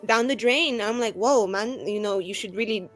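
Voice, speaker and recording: A woman's voice: fairly high pitch, moderate pace, faint music behind. A woman playing in a tabletop role-playing game, bantering casually in character with a chatty, slangy, playful manner.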